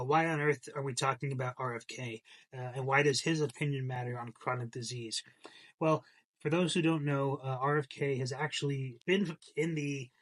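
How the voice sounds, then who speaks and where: A man's voice: mid-range voice, fairly bright tone. Young male host, mild congestion, loose rambling commentary to camera. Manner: rambling, repeats words, many fillers. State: slightly congested from a cold. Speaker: channel host.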